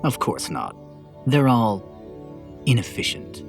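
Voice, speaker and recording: A man's voice: medium pitch, big pitch swings, slow, vocal fry, music in the background, heavily acted. A man playing a brooding, secretly tender death god in an amateur audio drama, speaking tersely and guardedly.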